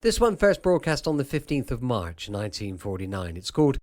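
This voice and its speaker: A male radio host gives conversational introductions. His medium-pitched voice moves in a lively, varied way. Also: a little fast.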